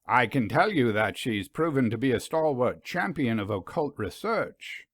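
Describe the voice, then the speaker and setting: A man's voice: mid-range voice, sing-song, normal speed, fairly bright tone, dry recording, studio quality. A man voicing a courteous, polished professor with an English accent in a storybook reading. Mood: guarded.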